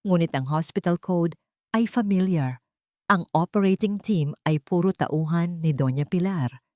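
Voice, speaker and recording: Woman doing dramatic story narration, first person, slightly low voice, super dry recording. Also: measured pace, mellow, no room sound.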